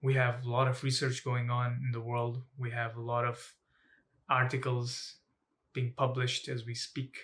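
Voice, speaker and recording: A young man, a student, giving a personal advocacy talk in measured, halting phrases with pauses. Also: medium pitch, completely flat delivery, a bit bright. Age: young adult. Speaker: student speaker.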